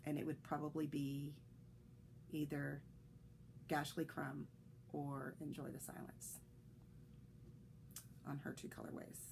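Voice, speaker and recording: A woman's voice: low voice, monotone, slow, vocal fry, long pauses, darkish tone, slight background noise, low-quality recording. A woman hosting a knitting podcast, chatting in a rambling way with fillers and restarts, a little congested. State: slightly congested, coughing. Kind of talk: casual solo podcast chat.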